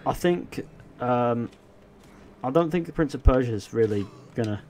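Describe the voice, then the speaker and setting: A man's voice: mid-range voice, lively intonation, moderate pace, a little mellow, a little hiss or hum. Casual young man livestreaming. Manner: rambling, joking, answers chat questions. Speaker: gaming livestreamer. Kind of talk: casual chat while playing a game live.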